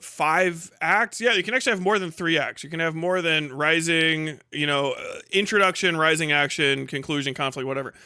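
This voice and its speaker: A man with a fairly high, crisp voice talks off the cuff on a livestream. The sound is bright and very dry. He explains things casually and haltingly, swearing freely. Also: no room sound. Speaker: live streamer reacting to a video.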